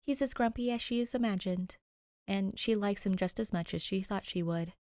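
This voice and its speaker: A woman's voice: mid-range voice, moderate pace, darkish tone, no room sound, studio quality. A young adult woman reading fiction aloud and chatting informally as host of her reading channel. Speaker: podfic reader and channel host. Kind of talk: story narration and chatty sign-off.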